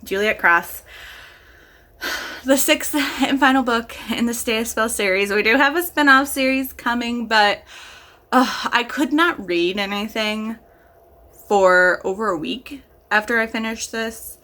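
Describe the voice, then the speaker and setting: A woman's voice: a little high, measured pace, pushed and bright. A woman chatting casually as a vlogger and reviewer, gushing with enthusiasm and leaning hard on emphatic words. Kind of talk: casual chat to camera.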